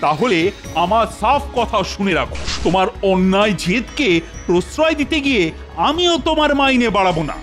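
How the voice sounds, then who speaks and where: Bossy fed-up cartoon king, highish expressive voice, faint music under it. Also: a little fast. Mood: exasperated. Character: exasperated, authoritative cartoon king holding court. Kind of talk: Bengali cartoon voice acting.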